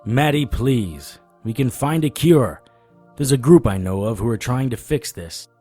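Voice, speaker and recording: A man playing a weary, wry monster fighter, narrating an audio drama in the first person with a husky voice. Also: medium pitch, expressive, a little slow, dark tone, faint music behind. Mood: pleading.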